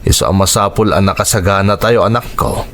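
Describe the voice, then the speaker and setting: A man's voice: lowish voice, fairly even intonation, brisk, a bit bright, a little hiss or hum. A man with a Filipino accent playing a kindly, hardworking pig-farmer father in an Ilocano radio drama. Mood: fatherly, encouraging.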